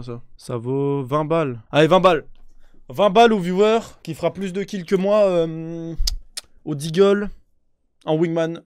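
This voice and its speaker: A young man streaming a shooter game on a headset mic, chatting excitably with his viewers and swearing often. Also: on the high side, clear, resonant tone, dry recording, studio quality. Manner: excitable, swears a lot, talks to chat. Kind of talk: live gaming stream commentary. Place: indoor room with a gaming chair.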